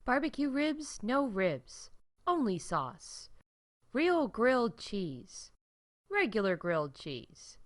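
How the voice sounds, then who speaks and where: Animated theme park announcer. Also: female voice, on the high side, slow. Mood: deadpan. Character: upbeat female theme park announcer in space. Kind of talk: scripted comedic announcements.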